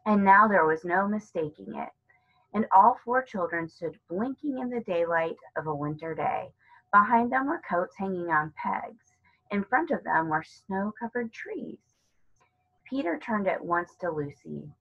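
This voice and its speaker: A woman narrating a children's novel as a read-aloud, with a husky voice. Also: mid-range voice, lively intonation, a little slow, muffled recording. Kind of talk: read-aloud storybook narration with character dialogue.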